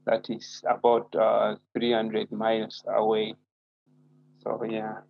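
A man's voice: on the low side, monotone, slow, creaky, dull audio. A man in his thirties with a Ugandan accent, a native Acholi speaker, answering as an interview guest in a polite, thoughtful way. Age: 30s. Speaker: guest author.

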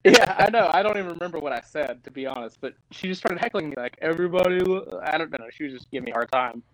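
Guest brief: young man from Tennessee, excitable storyteller, dry close sound. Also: on the high side, quick pace, dry recording, rough recording. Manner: animated, laughs often, lots of "like". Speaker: lead singer, guest. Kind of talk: casual storytelling interview.

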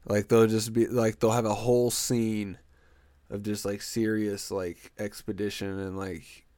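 A young man with a congested, stuffy nose rambles through a solo podcast, crude and joking as he wanders off on tangents. His voice sits fairly low, and the recording is dry and close with no room sound. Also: level tone, unhurried, mellow, no room sound. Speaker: podcast host.

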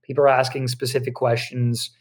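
A man chats as a guest on an interview podcast, his speech tumbling out in quick bursts. He restarts phrases and leans on fillers as he thinks aloud. His voice sits in an ordinary middle register. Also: mid-range voice, little pitch movement. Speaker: podcast guest, online business co-founder. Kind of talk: casual interview answers. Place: home video studio with lights.

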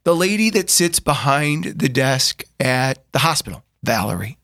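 A man's voice: mid-range voice, big pitch swings, measured pace, creaky, fairly bright tone, no room sound. Thoughtful middle-aged man, dry close sound. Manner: thoughtful, restarts often, says "like" a lot. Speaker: brother in a family discussion. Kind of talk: casual reflective conversation.

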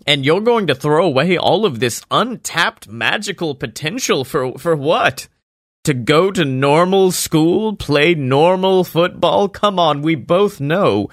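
Guy putting on a smug bragging wizard dad, voice pushed up a bit higher, dry close sound. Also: fairly bright tone, no room sound. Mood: rousing. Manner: grandiose, laughing, dismissive. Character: pompous boastful male wizard, self-important father.

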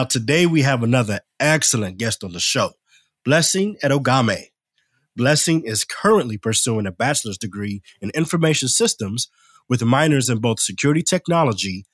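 A man's voice: mid-range voice, slightly rough, no echo. Upbeat male podcast host, dry sound. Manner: upbeat, with fillers and repeats. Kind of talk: interview introduction and chat.

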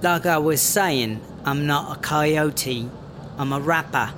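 A man plays a wary British rapper with a sly streak in a comedic audio drama. The voice sits a little high for a man. Faint ambience runs behind it. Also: slow, background noise. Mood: evasive.

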